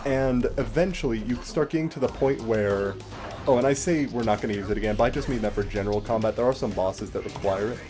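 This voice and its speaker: A man's voice: mid-range voice, fast, music in the background. A man giving casual, explanatory game commentary as a let's play host, swearing casually now and then.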